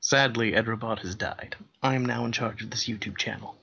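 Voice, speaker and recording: A man reading a fan fiction aloud as a comedy bit, narrating in a deadpan way with dry asides. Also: medium pitch, brisk, vocal fry, slightly rough, lo-fi sound.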